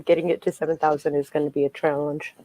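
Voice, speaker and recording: A woman chatting casually on a writing livestream, reacting quickly and animatedly. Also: sits low, little pitch movement, mellow, no room sound, low-quality recording. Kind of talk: casual chat.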